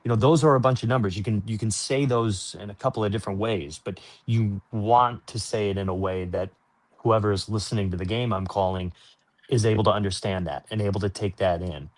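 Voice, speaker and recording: Man, lowish pitch, sports announcer, conversational interview answers, earnest and explanatory. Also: fairly quick.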